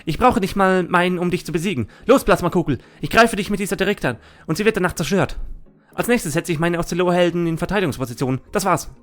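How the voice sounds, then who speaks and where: A man's voice: sits high, quick pace, fairly bright tone, soft background music, pitched above the performer's own voice. A man voicing a cocky, sneering duelist who taunts and boasts, in an anime fan audio drama. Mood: scornful. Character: cocky, sneering male Thunder Dragon card duelist.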